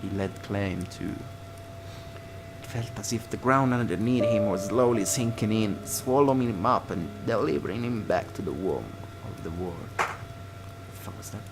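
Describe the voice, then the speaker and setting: A man hosting a game playthrough, reading a visual novel aloud like a dramatic storyteller and sometimes dropping to a breathy hush. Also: fairly low pitch, a little slow, rough recording. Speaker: let's play host. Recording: music playing loudly behind the voice. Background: game music.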